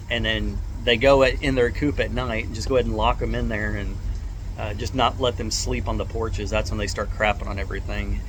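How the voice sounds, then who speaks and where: A man's voice: fairly low pitch, noisy background. Congested man rambling. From South Texas. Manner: hesitant, many "uh" fillers, relaxed drawl. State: congested with a lingering cough. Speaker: vlogger. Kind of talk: casual rambling vlog chat.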